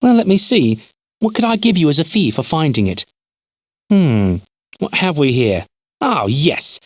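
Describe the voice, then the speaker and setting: A man's voice: on the high side, very animated, unhurried, no echo, studio quality. A man playing a polite, puzzled innkeeper in a fantasy video game, deferential and old-fashioned in phrasing. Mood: pleased, musing. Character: polite, puzzled male innkeeper, anxious and chatty. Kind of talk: video game character acting.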